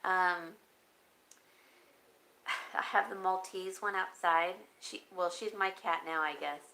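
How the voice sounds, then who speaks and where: A woman hosting a casual live chat, talking loosely and warmly about whatever comes up. Her voice is bright but turning raspy, and coughs break in often. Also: lowish voice, fairly even intonation, slow pace. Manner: rambling, chatty, reads and answers viewer comments. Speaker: home decor vlogger.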